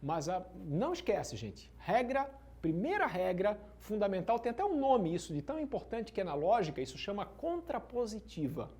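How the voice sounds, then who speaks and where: A man's voice: sits high, sing-song, moderate pace, hardly any pauses, darkish tone. A male teacher giving an exam-prep lesson at a whiteboard, slangy and emphatic, checking in with students often. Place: classroom at a whiteboard.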